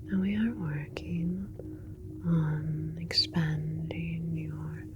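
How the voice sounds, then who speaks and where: A woman playing a soothing, clinical alien scientist in an ASMR roleplay, whispering with slow, deliberate, precise wording. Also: deep voice, fairly even intonation, dull audio, noisy background. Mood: soothing, reassuring.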